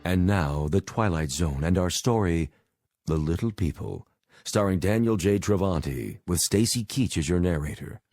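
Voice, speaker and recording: Very deep male drama announcer. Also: animated, normal speed, loud music behind the voice. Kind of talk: scripted radio drama title announcement.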